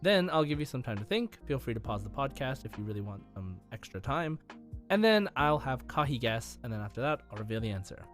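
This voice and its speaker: Pria pembawa kuis podcast, diiringi musik. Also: male voice, medium pitch, sing-song, a little fast. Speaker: podcast co-host and quiz presenter. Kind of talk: casual game quiz chat. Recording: music playing behind.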